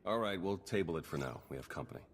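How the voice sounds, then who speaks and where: An actor playing a wry, weary intelligence operative in a video game, with a casual, dry, understated delivery. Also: male voice, deep voice, animated, quick pace, slight background noise. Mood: businesslike. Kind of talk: scripted game character dialogue.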